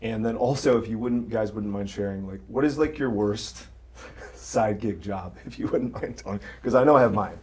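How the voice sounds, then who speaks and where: A man's voice: fairly low pitch, normal speed, a little mellow. A man speaking casually and conversationally as a host in a panel discussion. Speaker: podcast host.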